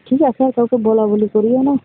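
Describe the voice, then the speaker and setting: Bangladeshi woman, quite high voice, cheeky casual banter over a narrow, slightly noisy phone line. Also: steady tone, a little fast, slightly rough. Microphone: phone call. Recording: tinny phone line with noise.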